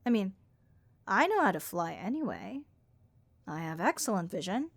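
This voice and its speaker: Woman acting a raspy, bored harpy copilot. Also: medium pitch, no room sound. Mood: grumbling, wry. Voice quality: raspy vocal fry. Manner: overdramatic, deadpan complaining with drawn-out emphasis. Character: bored, snarky harpy airline copilot, chatty and reckless. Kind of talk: comedic audio roleplay monologue addressed to listener.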